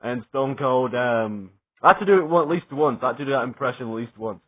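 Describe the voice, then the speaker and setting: A young adult man giving a rambling, excited spoken review, swearing often and stumbling over fillers and restarts. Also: mid-range voice, brisk, slightly rough. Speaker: wrestling reviewer. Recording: tinny phone-like sound.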